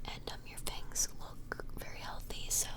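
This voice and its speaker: A woman whispering softly and breathily up close to the mic in a gentle, reassuring ASMR personal-attention roleplay, murmuring hesitantly. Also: normal speed. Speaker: ASMR performer. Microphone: close-up microphone.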